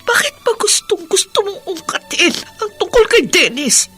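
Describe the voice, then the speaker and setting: Radio drama widow: anguished, tearful, pleading; very high, forceful, dramatic swings. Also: female voice, sing-song, soft background music, heavily acted. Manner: emotional, tearful, drawn-out pleading. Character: grieving widow, anguished, haunted by her husband's death. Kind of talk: Filipino radio drama acting.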